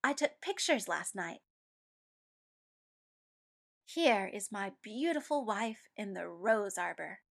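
A woman's voice: mid-range voice, animated, slow pace, gravelly, pauses often, exaggerated voice. A woman playing a breezy, chatty officer. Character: cheerful, breezy male officer and doting husband.

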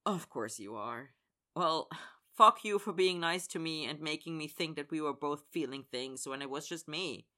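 A man's voice: medium pitch, lively intonation, normal speed, pushed and bright. A man voicing a guarded, dry-witted young male hockey player who talks curtly. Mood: bitter. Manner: dry, curt.

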